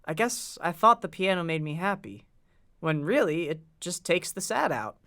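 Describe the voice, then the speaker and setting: A man's voice: a little high, expressive, normal speed, gravelly, bright, forceful tone, dry recording, studio quality, heavily acted. An actor playing an earnest, polite and curious young boy who speaks a little hesitantly in a period audio drama. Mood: grateful, hopeful.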